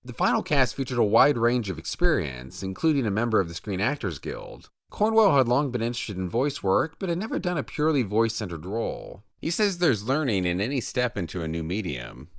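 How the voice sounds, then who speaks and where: A man's voice: fairly low pitch, huge pitch swings, brisk, hardly any pauses, dry recording, studio quality. An American man narrating a scripted news feature as a radio correspondent.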